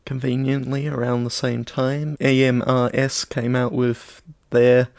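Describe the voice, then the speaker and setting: A man with a mid-pitched voice co-hosts a podcast in casual conversation. He keeps his remarks brief and laconic. The recording is dry and close, with little room sound. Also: flat delivery, a little slow, vocal fry, a little mellow, no room sound. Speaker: podcast co-host. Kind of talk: casual chat.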